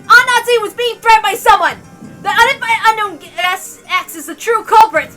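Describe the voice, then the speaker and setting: A woman's voice: extremely high pitch, fairly quick, pushed and bright. A woman voicing a hot-headed, desperate young defender, insistent and exclamatory, in a dramatic visual novel read-aloud. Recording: music behind the voice.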